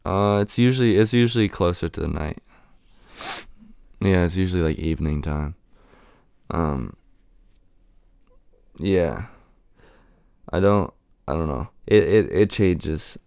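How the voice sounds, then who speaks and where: A young man rapper answering interview questions in a raspy, deep voice, halting with many fillers. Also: darkish tone, no room sound, clean studio sound. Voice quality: raspy and deep right now.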